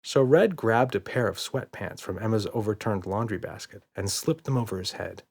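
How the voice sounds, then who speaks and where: A man's voice: on the low side, lively intonation, moderate pace, creaky. A man reading a children's audiobook as narrator, in scripted storytelling style.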